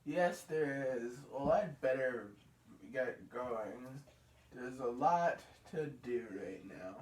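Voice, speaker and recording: Young guy voicing a slow, halting bear cub puppet. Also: medium pitch, lively intonation, a little mellow. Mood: earnest. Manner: halting read-aloud delivery. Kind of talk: children's puppet show, scripted lines. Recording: noisy, echoey room, distant mic.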